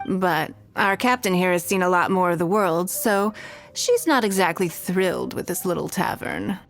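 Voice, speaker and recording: A woman's voice: on the low side, lively intonation, moderate pace, faint music behind. An actress playing a refined, coolly teasing lady in a video game, with an elegant, composed manner.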